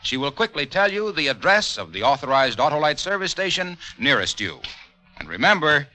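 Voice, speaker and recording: A man delivering a 1940s radio sponsor pitch as an announcer, with polished, folksy salesmanship. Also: very animated, a little fast, bright, forceful tone, poor audio. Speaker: commercial announcer.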